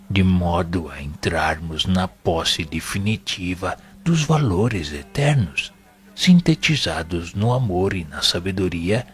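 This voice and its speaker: Paternal spiritual mentor, Brazilian accent, low-pitched, unhurried, grave, didactic, audio drama. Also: male voice, a bit bright, exaggerated voice. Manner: grave, didactic, formal oratory. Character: wise, paternal, solemn male spiritual instructor. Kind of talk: audio drama sermon-like monologue.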